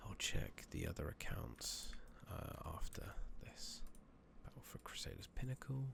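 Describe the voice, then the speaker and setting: A man giving soft-spoken, partly whispered ASMR commentary on a game, muttering casually to himself on a noisy home mic with clicking. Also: fairly low pitch, expressive, measured pace, vocal fry. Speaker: ASMR gaming streamer. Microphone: makeshift rigged-up close microphone.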